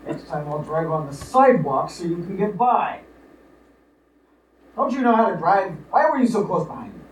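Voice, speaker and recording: A man's voice: high-pitched, lively intonation, normal speed. A man playing an indignant, conceited young rich bachelor in an old-time radio play, huffy and accusing. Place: live radio play performance in a roomy space. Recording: echoey room, far mics, faint noise.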